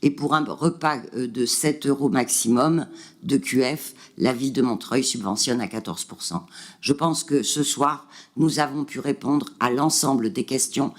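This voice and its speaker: A man's voice: medium pitch, moderate pace. A man presenting figures at a city council meeting, hesitant, with many fillers and restarts. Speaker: city councillor answering questions.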